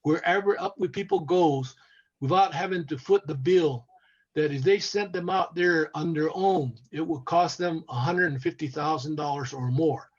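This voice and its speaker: Husky older man, halting. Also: fairly high pitch. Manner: halting, repeats words while searching. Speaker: panel guest, former cast member. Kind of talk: video call panel discussion. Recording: muffled lo-fi video call audio.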